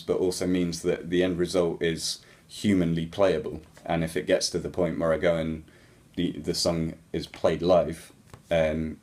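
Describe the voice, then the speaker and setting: A man with a very low voice talks casually to his viewers, answering their questions about playing music. He rambles in a relaxed way and fills pauses with ums and false starts. A young adult speaking straight to camera. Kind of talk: casual Q&A chat.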